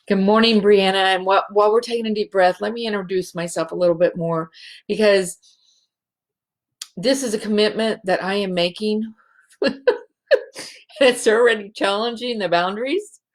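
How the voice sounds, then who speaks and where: A woman hosting a spiritual show, speaking in a halting, emotional way and close to tears. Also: medium pitch. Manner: slow, halting and emotional, many restarts. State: teary. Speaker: show host, healing facilitator. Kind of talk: heartfelt spiritual show monologue.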